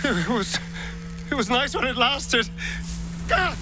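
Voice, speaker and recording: Skittish rogue, wildly swooping voice. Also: male voice, very high-pitched, big pitch swings, takes it slowly, noisy background, full character voice. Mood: panicked. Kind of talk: video game cutscene acting.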